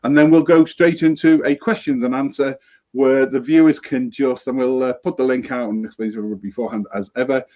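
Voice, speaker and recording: A man's voice: medium pitch, steady tone, quick pace, darkish tone. A male show host chatting casually and upbeat on a live stream, calling people mate, heard off-screen over a remote feed. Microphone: remote audio feed, off-screen. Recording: lo-fi dull sound.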